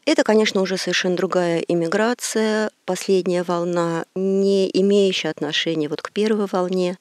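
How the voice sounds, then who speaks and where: A middle-aged woman from Russia, working as a court interpreter, giving measured and reflective answers with small hesitations in an interview. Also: fairly low pitch, fairly even intonation, a little mellow, no room sound, clean studio sound.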